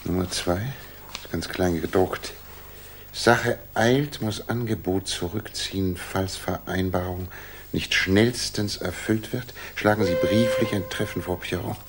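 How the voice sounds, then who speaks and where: An actor playing a confident, methodical Victorian detective in a 1960s German radio play, reasoning in a deliberate, commanding way. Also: male voice, low voice. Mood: analytical. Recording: noisy 1960s radio drama recording.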